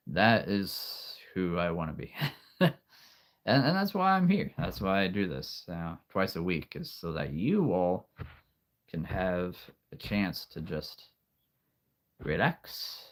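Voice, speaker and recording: Deep-voiced guy hosting a stream, really low and slow, soothing storyteller feel, clears his throat a lot. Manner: soothing, calm storyteller delivery; rambling, with frequent restarts and soft laughs. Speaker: livestream host. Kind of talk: casual live-stream chat.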